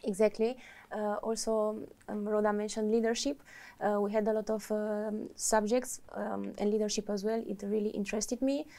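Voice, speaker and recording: A woman's voice: mid-range voice, flat delivery, moderate pace, mellow. A woman from Romania, an MBA student answering interview questions hesitantly with many fillers.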